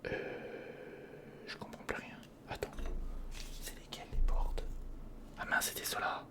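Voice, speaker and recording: Hushed ASMR whisperer, casual step-by-step muttering. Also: slow pace. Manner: informal, muttering step by step to the viewer. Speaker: ASMR video creator. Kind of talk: whispered ASMR commentary while building a toy kit. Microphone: close recorder or studio microphone.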